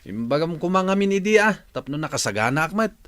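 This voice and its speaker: A complaining, lively busy son. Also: male voice, on the high side. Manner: complaining and hurried. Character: busy grumbling working son. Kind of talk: radio drama acting.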